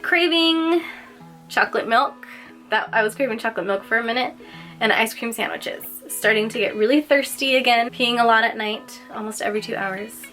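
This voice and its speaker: A woman's voice: fairly high pitch, normal speed, a bit bright, soft background music. A young woman vlogging casually, slightly nasal and congested, chatty with lots of filler words. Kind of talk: casual personal vlog.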